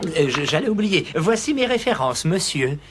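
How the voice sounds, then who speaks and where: A man's voice: high voice, very animated, moderate pace, noisy background. A man voicing an eager, flustered young would-be butler in a cartoon, stammering and deferential. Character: eager, flustered young male ex-circus performer seeking butler job. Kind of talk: animated cartoon dub acting. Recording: loud music behind dialogue.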